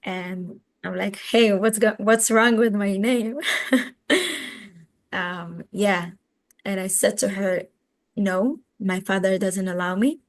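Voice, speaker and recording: A woman's voice: mid-range voice, fairly even intonation. A woman in her twenties from Israel with an Israeli accent, answering interview questions conversationally and often searching for words. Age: 20s. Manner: halting, searching for words in a second language. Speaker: interview guest, educator and social media activist.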